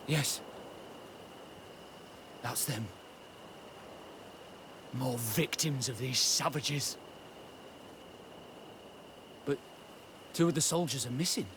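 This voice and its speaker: An actor playing a dutiful army corporal in a video game, formal and deferential. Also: male voice, medium pitch, drawn-out words, pauses often, noisy background. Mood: grim concern. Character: dutiful male army corporal, grim toward his enemies.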